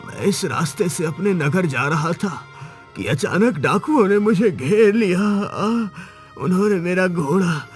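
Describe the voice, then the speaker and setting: A man playing a weary, wounded old traveller in a cartoon moral story, speaking plaintively. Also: sits high. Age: older. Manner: slow, plaintive, drawn-out delivery.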